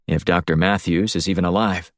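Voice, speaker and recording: A man's voice: low voice, steady tone, fairly quick, no room sound, studio quality, close to an everyday voice. A man voicing a cool, wry male secret agent in an audiobook, terse and unflustered. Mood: resolute. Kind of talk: audiobook character voice.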